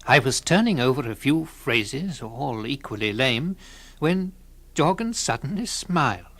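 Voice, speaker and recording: An actor playing a well-meaning, awkward storyteller and drinking companion, narrating in a measured, confiding way in an audio drama. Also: male voice, medium pitch, very animated, fairly bright tone, full character voice. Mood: confiding, earnest.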